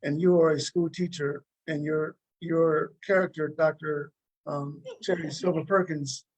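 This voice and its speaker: A man with a somewhat high voice hosts a casual interview. He moves haltingly through his questions, repeating words and rewording as he goes. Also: fairly even intonation, a little mellow, poor audio.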